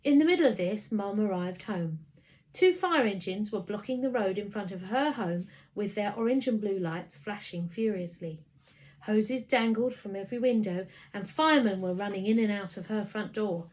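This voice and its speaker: Female narrator for a children's book, mid-range voice, expressive scripted reading. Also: mid-range voice, moderate pace, rough recording. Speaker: story reader.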